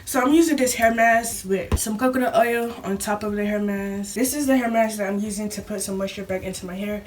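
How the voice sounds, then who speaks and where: A woman's voice: medium pitch, far from the mic. A young woman with a sore throat talks to her camera in a loose, rambling vlog at home. She sits a little back from the mic, with a faint hiss in the recording. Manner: rambling, talks fast with laughs. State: sore throat, voice a bit deeper. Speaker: vlogger. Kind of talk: casual chatty vlog.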